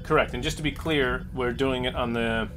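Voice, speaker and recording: A man's voice: medium pitch, fairly even intonation, moderate pace, over music. A man playing the captain in a tabletop game, laying out plans hesitantly with many restarts. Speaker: player voicing the ship's captain. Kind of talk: in-character planning at a game table.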